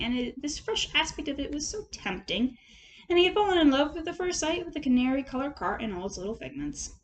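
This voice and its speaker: A woman narrates a children's classic as an amateur reader. Her voice is high and very lively, rising and falling expressively through each sentence. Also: slight background noise, poor audio.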